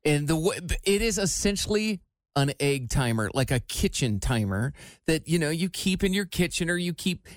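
A man's voice: a little high, big pitch swings, moderate pace, no echo, clean studio sound. A man in his forties hosting a show with casual, playful, teasing banter. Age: 40s. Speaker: radio show host.

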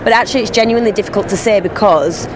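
An enthusiastic English woman talks with a regional lilt, her words tumbling out with frequent restarts. She sits at a medium pitch, in her thirties. Clear traffic noise rumbles behind her outdoors. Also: racing. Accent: English regional, not London. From England. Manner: chatty, enthusiastic, restarts often. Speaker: guest actress. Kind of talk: casual convention interview.

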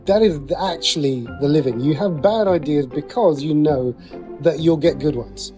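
A man's voice: on the high side, moderate pace, mellow, soft background music. A man with a British accent talking as an interview guest, conversational, reflective and self-deprecating. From Slough, England. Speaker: interview guest, TV and radio writer.

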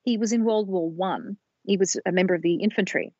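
A woman's voice: medium pitch, fairly quick, darkish tone, no echo. A woman co-hosting a podcast, carefully walking through her research in a casual, explanatory way with small restarts. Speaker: podcast co-host presenting research. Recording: dull lo-fi dry recording.

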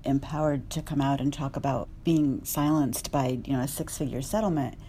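A woman journalist speaking earnestly on a discussion panel, measured and with small restarts. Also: very deep voice, mellow, a little hiss or hum.

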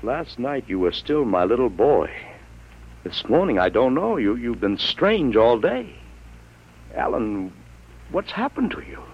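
A man's voice: mid-range voice, very animated, a little slow, breathy edge, heavily acted. An actor playing a worried middle-aged father who stammers in disbelief, in a 1940s radio drama. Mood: bewildered. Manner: stammering, incredulous. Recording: dull lo-fi old radio recording.

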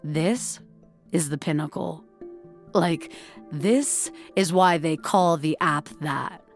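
A woman voicing an earnest, excited delivery man in an audio drama. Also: low voice, slow, faint music behind, voice pushed down. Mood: excited, reverent.

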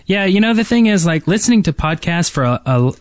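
A man's voice: sits high, animated, brisk, no echo, studio quality. A man hosting a podcast, chatting casually. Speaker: podcast host.

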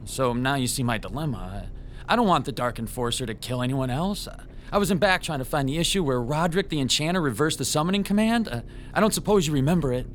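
An actor playing a timid, bullied comic shop owner, hesitant and fretful, in a radio drama. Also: male voice, medium pitch, animated, quick pace, fairly bright tone, a little hiss or hum, lightly acted. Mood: weary, confessional.

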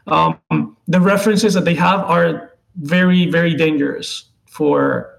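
A man in his twenties with a Venezuelan accent, a native Spanish speaker, answering interview questions haltingly as he searches for words. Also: a little high, steady tone, gravelly, low-quality recording. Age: 20s. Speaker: interview guest, political contributor.

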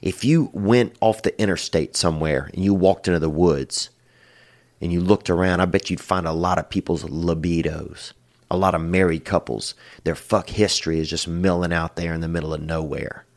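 A man's voice: deep voice, normal speed. A man hosting a call-in podcast, giving rambling, crude, folksy advice full of "you know" and slang. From Polish Nicaraguan family. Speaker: podcast host. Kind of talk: casual advice to callers.

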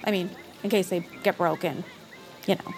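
A woman's voice: fairly low pitch, fairly even intonation, normal speed, soft background music, natural voice. A woman playing a dry, officious small-town official creature, bureaucratic and matter-of-fact, in cartoon audio fiction. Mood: dryly doubtful.

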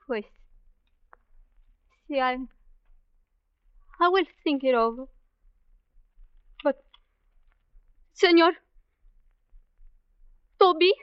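A woman's voice: high-pitched, lively intonation, extremely slow, pauses often, full character voice. An actress playing a fiery, distrustful young woman with a Spanish accent and defiant broken English in a 1940s radio drama. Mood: guarded, defiant. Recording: dull, muffled 1940s radio recording.

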